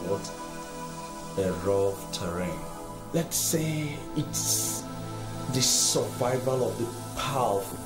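A man's voice: mid-range voice, lively intonation, slow pace, long pauses. An actor playing a cold, contemptuous, power-hungry man in a film drama. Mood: cold scorn. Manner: scornful, imperious. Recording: echoey room with music behind.

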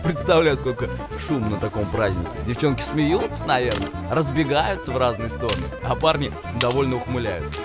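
A young man hosts a travel vlog, joking with people he meets and now and then breaking into song. He is recorded outdoors on an old town street. Loud guitar music plays behind his voice. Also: mid-range voice, expressive, brisk, hardly any pauses. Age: young adult. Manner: playful, chatty, breaks into singing. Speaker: travel show host.

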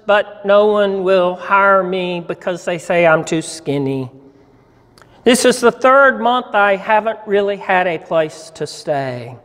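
Man reading as a poor, halting young mother. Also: sits high, a bit bright, smooth. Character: poor, hungry young mother, soldier's wife, humbly grateful. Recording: reverberant church hall.

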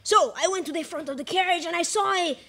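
A woman's voice: very high-pitched, quick pace, sharp tone, exaggerated voice. A woman playing a boastful, gloating male train robber in a live student radio play, with theatrical glee. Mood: smug boasting. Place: small studio, indoors. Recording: clearly noisy studio recording.

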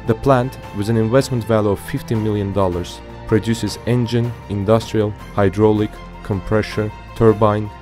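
Male narrator for an industrial report: low register, formal, measured scripted read, faint music bed. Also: fairly even intonation, a little mellow.

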